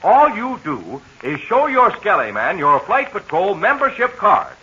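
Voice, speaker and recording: A man announcing a 1940s children's radio serial, pitching eagerly to young listeners in a muffled old transcription. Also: huge pitch swings, unhurried, pushed and bright, breathy edge.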